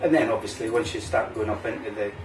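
A middle-aged man with an Aberdeen Scottish accent, hosting a relaxed cigar review chat at a home bar and using local words like "wee" and "aye". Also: lowish voice, fast talker. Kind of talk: casual chat. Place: home bar room, indoors. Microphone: camera mic at table distance. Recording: roomy, distant camera mic, faint music.

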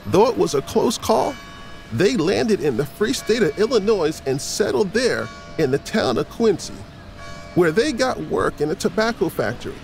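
A friendly storyteller in a children's audio drama. His high voice swings expressively and has a creaky edge. His delivery is folksy and engaging, with music playing behind. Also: big pitch swings, moderate pace, exaggerated voice. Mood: engaged storytelling. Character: friendly male teacher telling children saintly history.

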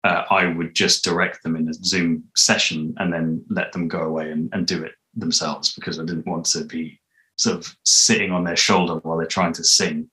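A man in his thirties talking casually in an interview, explaining things in a measured, understated way. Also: low-pitched, flat delivery, sharp tone, low-quality recording. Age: 30s. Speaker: composer being interviewed. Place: small room at home, indoors.

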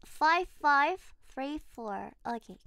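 Bright, high-voiced woman streamer. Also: lively intonation, takes it slowly, gravelly, no room sound. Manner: chatty, giggly, talks to the game character. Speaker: VTuber streamer. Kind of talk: casual gaming livestream chat.

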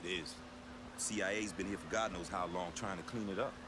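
An actor playing a steady, loyal soldier squadmate in a military game. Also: male voice, low-pitched, brisk, noisy background, close to an everyday voice. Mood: sober. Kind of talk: military drama game dialogue.

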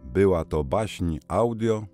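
A man's voice: low voice, animated, slow, mellow, faint music behind. A man reading scripted audiobook credits as an announcer.

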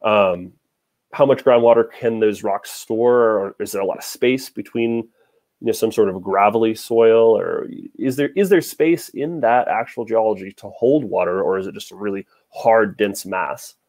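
A young man with an American accent and a fairly low voice gives an informal science lecture. He explains step by step, posing questions and then answering them. He speaks over a video call from indoors. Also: moderate pace, a little mellow. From Nevada. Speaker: hydrologist giving a lecture.

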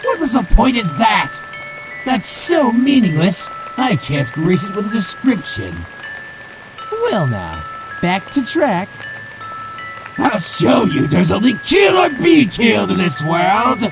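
A woman's voice: mid-range voice, wildly swooping pitch, music in the background, heavily acted, poor audio. A woman voicing a small, malicious talking flower in a fan comic dub, mocking, bitter and theatrical. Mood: indignant, ranting. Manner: mocking, theatrical, drawn-out menace.

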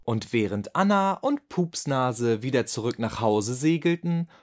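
A man's voice: mid-range voice, animated, a little slow. A man narrating a children's bedtime story as a scripted narrator.

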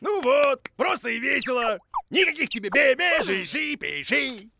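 Grumpy male shaggy creature, creaky, sharp bright tone, swooping pitch, boastful children's cartoon teacher. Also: big pitch swings, a little slow, slight background noise, heavily acted. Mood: breezy, impatient. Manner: blustering, grumbling, exclaiming.

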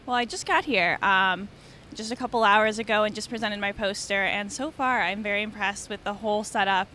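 A woman's voice: steady tone, fairly quick, bright, forceful tone. A woman in her twenties, a student being interviewed on camera with a handheld microphone. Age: 20s. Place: large convention hall. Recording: faint convention hall rumble.

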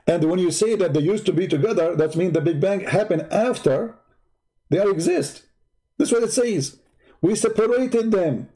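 A man's voice: on the high side, mellow, slightly rough. A man whose first language is Arabic, hosting a live stream, speaking in a combative, mocking way and repeating rhetorical questions. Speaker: online debate host. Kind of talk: heated live-stream commentary.

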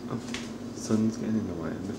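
A middle-aged man giving a casual, low-key, off-the-cuff house tour as he walks around indoors with a handheld camera. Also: fairly quick, gravelly. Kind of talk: casual off-the-cuff narration while filming. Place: indoors, walking through rooms of a house. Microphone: handheld camera at arm's length. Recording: noisy handheld camera audio.

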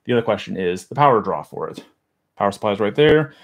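A man's voice: on the low side, expressive, fast. A man in his thirties chatting casually in a small home office, rambling and muttering as he works on a computer case. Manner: rambling, self-deprecating, mutters while handling parts. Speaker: streamer unboxing a computer. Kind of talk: casual live chat while working. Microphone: boom mic near desk.

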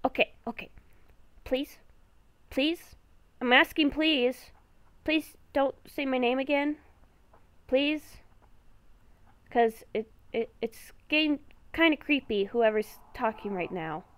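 Woman playing a high-pitched, nervous young werewolf girl. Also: no echo. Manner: halting, stammering, repeats herself. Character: nervous young woman werewolf, hearing ghostly voices at night. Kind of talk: improvised Minecraft roleplay.

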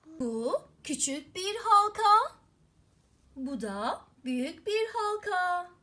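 Very high-pitched affectionate mother teaching. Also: big pitch swings, slow pace, sharp tone, slight background noise. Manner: affectionate, encouraging, singsong repetition with endearments. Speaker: mother teaching her young child. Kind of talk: child-directed teaching, question and answer.

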